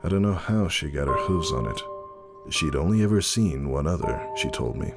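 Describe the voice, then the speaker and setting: A man giving a dramatic first-person story reading in a measured, wistful way. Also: rumbling low, lively intonation, vocal fry. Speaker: audiobook narrator. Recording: music behind the reading.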